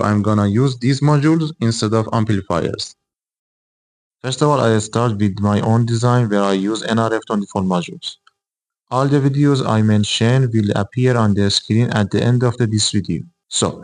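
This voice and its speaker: A man's voice: fairly low pitch, darkish tone. Young man explaining an electronics project. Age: 20s. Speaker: electronics hobbyist, video host.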